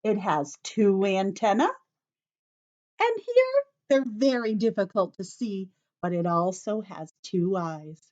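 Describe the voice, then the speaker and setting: A woman's voice: mid-range voice, sing-song, slow pace, a little mellow, lo-fi sound. A woman teacher leading story time for young children, speaking in simple short phrases with careful emphasis and addressing the children directly. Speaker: children's teacher reading a picture book.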